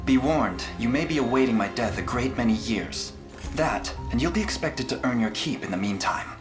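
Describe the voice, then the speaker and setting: A man playing a noble young prince who leads an army in a video game, speaking in a measured, earnest, formal way. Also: medium pitch, lively intonation, natural voice. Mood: firm, calm. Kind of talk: video game cutscene acting. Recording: echoey, loud music behind.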